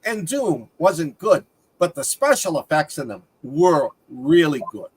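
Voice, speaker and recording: Higher-pitched man in casual film discussion. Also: big pitch swings, a little slow, husky, low-quality recording. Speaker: movie podcast co-host. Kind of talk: casual discussion with facts and figures.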